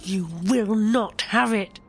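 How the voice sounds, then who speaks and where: A woman playing a stern, powerful warrior angel in an audio drama, commanding and deliberate. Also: mid-range voice, background noise. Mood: stern, defiant.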